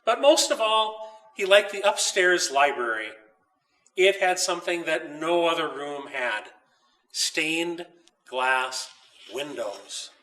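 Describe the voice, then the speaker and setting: A man reading a children's story aloud as its author, in a measured, deliberate way with pauses. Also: a little high, expressive, bright, forceful tone, far from the mic, low-quality recording. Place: old dairy barn.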